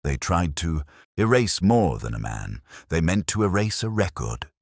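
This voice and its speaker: A man's voice: low-pitched, big pitch swings, measured pace, no echo, clean studio sound, exaggerated voice. A man voicing a grave, brilliant detective in an audiobook story, with clipped, solemn, theatrical pronouncements and a scornful edge. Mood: intent, deducing.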